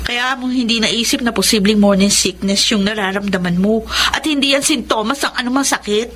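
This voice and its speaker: High female voice, actress as playful pushy little sister, Filipino accent, radio drama, noisy background. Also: fairly quick, fairly bright tone, natural voice. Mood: excited, insistent. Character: playful, pushy younger sister who sells supplements.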